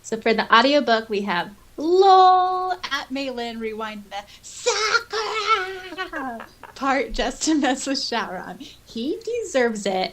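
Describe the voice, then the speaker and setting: Woman, fairly high pitch, podcast co-host, informal, giggly, casual chat and comment reading.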